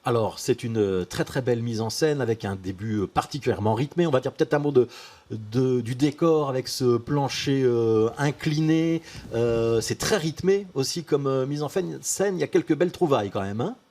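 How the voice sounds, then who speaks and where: A man hosting a TV interview, asking measured questions with frequent fillers. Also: medium pitch, a little mellow. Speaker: TV host.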